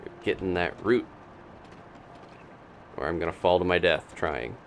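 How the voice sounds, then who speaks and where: A man's voice: sits low, measured pace, slight background noise. A man giving casual live gameplay commentary as a streamer, thinking aloud as he plays. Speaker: game streamer, host.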